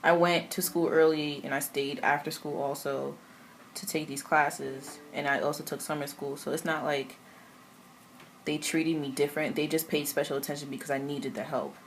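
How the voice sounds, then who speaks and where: Haitian woman in her twenties, low flat voice, candid and reflective, faint room noise. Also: moderate pace, low-quality recording. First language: French. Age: 20s. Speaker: interviewee, immigrant student. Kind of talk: interview answers.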